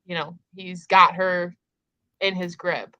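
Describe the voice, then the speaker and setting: A woman's voice: lowish voice, steady tone, slow, bright, forceful tone, clean tone, no room sound. A woman hosting a casual book discussion, retelling in an emphatic, conversational way peppered with filler words.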